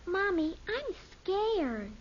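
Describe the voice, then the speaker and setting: A woman's voice: very high voice, sing-song, extremely slow, exaggerated voice. A woman playing an anxious, whining little girl in a 1950s American radio sitcom, pleading in an American accent. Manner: whiny, pleading. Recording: dull noisy old radio recording.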